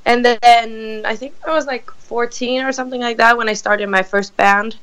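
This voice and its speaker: A woman in her thirties from Sweden with a raspy voice, chatting casually as a guest singer on a call-in interview. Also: on the high side, steady tone, a bit bright, low-quality recording. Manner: chatty, halting, laughs often.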